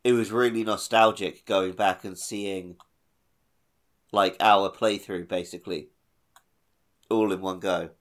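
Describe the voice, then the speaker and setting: Lower-voiced man in casual chat. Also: steady tone, a little slow, pauses often.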